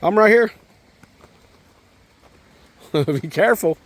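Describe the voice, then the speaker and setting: A man's voice: high voice, expressive, takes it slowly, a little hiss or hum. A man talking casually and encouragingly as a father to a young child, outdoors at a park. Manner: encouraging, informal, addresses child as man and bud. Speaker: father and vlogger.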